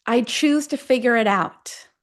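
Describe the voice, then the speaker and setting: A woman leading a guided affirmation meditation, speaking deliberately in short phrases with long pauses between them. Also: fairly high pitch. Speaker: guide of a self-affirmation tapping session.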